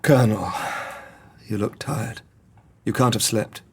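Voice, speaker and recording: Earnest, articulate gentleman doctor. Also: lowish voice, measured pace, husky, soft background music. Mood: concerned. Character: earnest, grave gentleman doctor, narrating his ordeal. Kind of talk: dramatic audio drama acting and narration.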